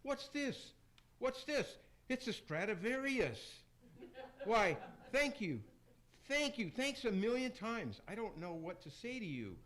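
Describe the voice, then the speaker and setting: A man as repentant Roman emperor, high-pitched, very animated, effusive, theatrical rhymes, radio play. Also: slow pace, full character voice. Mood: astonished, grateful. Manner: effusive, theatrical rhyming speech. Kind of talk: amateur radio theater acting.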